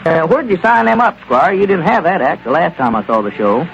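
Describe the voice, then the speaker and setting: An actor playing a plain-spoken country friend with chuckling asides in a 1930s radio comedy. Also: male voice, a little high, sing-song, brisk, creaky, fairly bright tone, faint music behind, exaggerated voice. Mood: friendly. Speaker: townsman Dick. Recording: lo-fi old radio broadcast.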